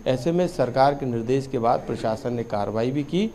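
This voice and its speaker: Man, news reporter, medium pitch, soft mellow tone, halting live report full of fillers.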